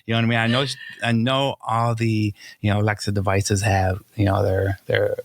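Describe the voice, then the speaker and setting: A man's voice: lowish voice, flat delivery, brisk, vocal fry, no room sound. A man chatting casually and bluntly as a podcast co-host. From Rome, New York, United States. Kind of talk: casual conversational chat.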